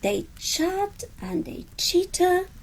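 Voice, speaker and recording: A woman reading a picture book aloud to children with dramatic, deliberate phrasing. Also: extremely high pitch, fairly even intonation, creaky, poor audio. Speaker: storytime reader.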